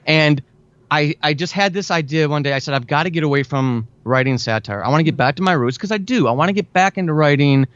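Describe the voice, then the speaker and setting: A man's voice: a little high, fast, no room sound, lo-fi sound. An American man talking chattily and with enthusiasm as a guest in a conversational interview, repeating words for emphasis. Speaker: podcast guest, audio drama writer.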